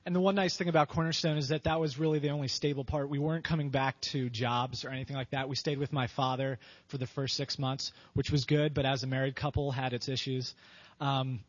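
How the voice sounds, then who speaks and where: A man from Pennsylvania answering interview questions about his wife in a relaxed, halting way, with many fillers. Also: mid-range voice. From Pennsylvania, USA. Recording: dull lo-fi sound.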